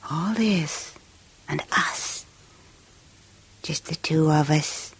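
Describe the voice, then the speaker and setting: Very slow, whispery, sultry lover. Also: female voice, sits low, husky, heavily acted. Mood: seductive, intimate. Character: sultry, intimate female lover murmuring closely. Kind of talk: 1970s radio horror drama acting. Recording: noisy old 1970s radio recording.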